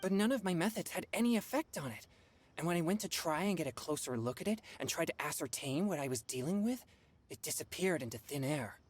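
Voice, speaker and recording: A serious teenage boy from an anime game, lowish and husky with expressive swings in pitch. The young exorcist is earnest and formal, quick to take blame on himself.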